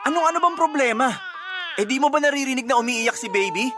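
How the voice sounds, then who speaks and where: A man's voice: very high-pitched, expressive, fast, over music. An actor playing an earnest, protective young husband and doting father in a Tagalog radio drama, emphatic and emotional. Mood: exasperated.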